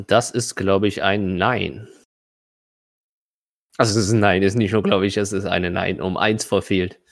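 A man's voice: low voice, fairly even intonation, a little slow, gravelly, dry recording, studio quality. A man narrating tabletop combat as game master, hesitant and often correcting himself.

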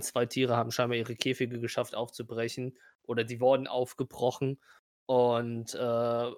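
A man, improvising colloquial game master narration in halting sentences. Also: mid-range voice, no echo, studio quality.

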